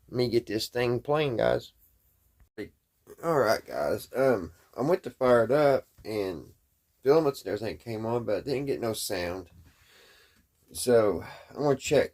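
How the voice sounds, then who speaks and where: A man with a fairly low voice presents a casual, hands-on hobby demonstration. He talks in a folksy, unpolished way, often halting as he thinks out loud while he works.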